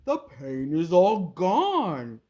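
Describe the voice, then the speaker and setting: A woman voicing a big, grumpy male crocodile in a storybook, with a loud, drawn-out delivery. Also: fairly low pitch, big pitch swings, full character voice. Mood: relieved.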